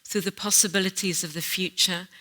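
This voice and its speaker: A woman's voice: fairly low pitch, fairly even intonation, brisk, pushed and bright, poor audio. A woman speaking in an onstage conference conversation, earnest and emphatic, stressing key phrases as she reflects.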